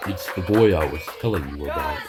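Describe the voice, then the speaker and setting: A man's voice: very deep voice, over music. An actor playing a sly courtier in an audio drama, deferential and full of hints as he reports to his queen. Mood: wary, hinting. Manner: deferential, hinting, deliberate. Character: sly, insinuating male courtier informant to a queen. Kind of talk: scripted audio drama acting.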